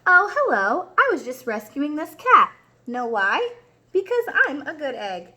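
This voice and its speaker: Woman reading as an earnest, bright-voiced storybook egg. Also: high-pitched, measured pace, exaggerated voice. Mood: cheerful, proud. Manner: expressive, emphatic storytelling for young children. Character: earnest, eager-to-please egg narrating a children's storybook. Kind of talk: picture book read-aloud.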